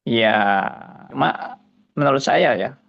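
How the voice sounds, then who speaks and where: A pastor in his seventies giving a slow, musing spoken testimony, often tagging his phrases with a soft "ya". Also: mid-range voice, expressive, creaky, lo-fi sound. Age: 70s.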